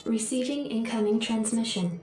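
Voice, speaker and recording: A woman's voice: fairly high pitch, moderate pace, a little mellow. A woman voicing a composed military adjutant computer, formal and precise, with a synthetic echo in a game briefing. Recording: heavy echo, music behind.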